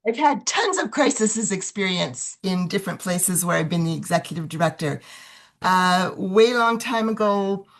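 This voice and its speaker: An older woman joins the call to share her long career as an executive director, speaking in a reflective, storytelling way. Her voice sits very low for a woman. It comes through a video call connection. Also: lively intonation, moderate pace. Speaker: nonprofit executive director, webinar participant. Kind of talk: recounting experience on a video call.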